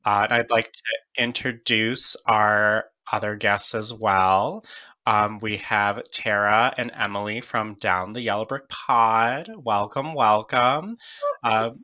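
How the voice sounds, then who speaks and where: A man's voice: on the low side, fairly even intonation, slow pace, pushed and bright. A man hosting a podcast, giving a playful, upbeat introduction. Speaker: podcast host. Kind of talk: scripted introduction, casual chat.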